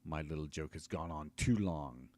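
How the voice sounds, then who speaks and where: A cruel, gloating wizard as a man reading aloud puts him on, hissing and sneering at his captives. The voice sits low. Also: moderate pace, a little mellow.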